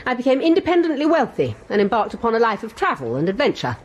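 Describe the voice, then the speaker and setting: An actress playing a brisk, independent Victorian lady explorer with an English accent and a commanding, haughty manner in a radio comedy-adventure. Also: fairly high pitch, huge pitch swings. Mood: proud, brisk. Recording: noisy radio broadcast with ambient effects.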